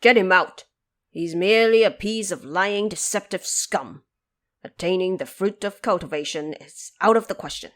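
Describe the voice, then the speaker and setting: A woman voicing a stern, wise old male patriarch in an audio drama, commanding and deliberate as he lectures. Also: fairly low pitch, a bit bright, natural voice. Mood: angry.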